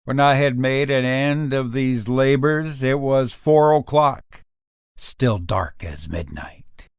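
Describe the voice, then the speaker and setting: Nervous, gloating murderer played by a male reader for gothic horror audio; slow, theatrical delivery, close dry sound. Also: medium pitch, vocal fry, clear, resonant tone, no echo. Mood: sly, gloating. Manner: intense, deliberate, emphatic, theatrical. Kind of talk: dramatic gothic horror audio story reading.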